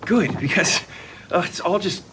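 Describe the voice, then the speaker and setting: A man's voice: sits high, animated, normal speed, a bit bright, slight background noise, poor audio. An actor playing an earnest, nostalgic architect and father who stammers eagerly at a sitcom table read.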